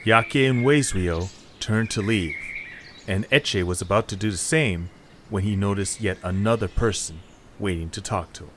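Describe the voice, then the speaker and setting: A man narrating a story in a calm, even way. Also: lowish voice, normal speed, slight background noise.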